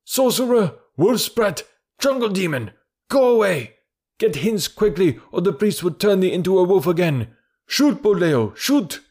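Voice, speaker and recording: A man voicing hostile, superstitious villagers in a storybook reading, shouting shrilly in alarm. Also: high voice, very animated, unhurried, voice pushed up, exaggerated voice. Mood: angry alarm.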